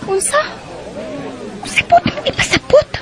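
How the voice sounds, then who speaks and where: An actress playing a young Bisaya cashier and wife in a Filipino radio drama. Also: female voice, measured pace, crowd noise, background noise, natural voice. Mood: exasperated, indignant. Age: young adult. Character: young restaurant cashier wife, friendly but increasingly exasperated.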